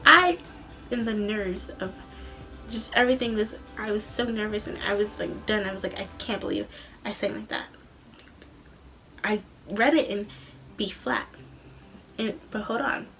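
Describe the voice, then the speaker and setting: A young woman telling a story in a casual, rambling vlog style, animated, with a slightly tired, tense voice. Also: on the high side, poor audio. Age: young adult. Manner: animated, rambling, acts out quoted dialogue. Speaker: student singer and vlogger.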